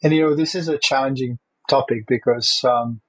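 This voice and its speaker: Husky-voiced man. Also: medium pitch, steady tone, normal speed, a bit bright, poor audio. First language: Czech. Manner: thoughtful, with frequent fillers and restarts. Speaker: host. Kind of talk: conversational interview.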